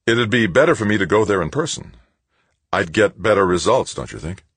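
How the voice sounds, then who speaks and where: Man reading as a reluctant, grumbling draftee, husky. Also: on the low side, expressive, a little fast, a bit bright, dry recording, voice pushed up, full character voice. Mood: protesting, resigned. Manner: plaintive, grudging.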